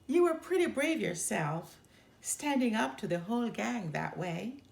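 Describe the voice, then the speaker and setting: A woman's voice: a little high, very animated, unhurried, vocal fry, exaggerated voice. A woman voicing a small, earnest, outspoken girl bear cub in a children's storybook. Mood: admiring.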